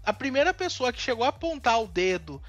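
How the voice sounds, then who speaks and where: A man's voice: very high voice, big pitch swings, a little fast, a bit bright, dull audio, faint music behind. A man from Northeast Brazil, co-hosting a casual commentary chat and airing strong opinions with frequent restarts. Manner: talkative, many restarts, indignant opinions. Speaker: co-host.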